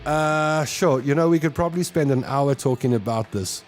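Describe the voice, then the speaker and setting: A man's voice: mid-range voice, moderate pace, faint music behind. A South African man in his fifties hosting a live show, talking casually and earnestly to his listeners in a reflective, conversational way. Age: 50s.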